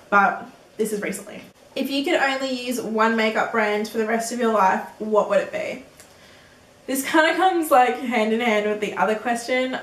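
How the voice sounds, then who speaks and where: A woman's voice: mid-range voice, roomy sound, distant mic, low-quality recording. An eighteen-year-old woman answering viewer questions in a casual beauty vlog, chatty and giggly, with lots of ums and likes. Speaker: beauty vlogger.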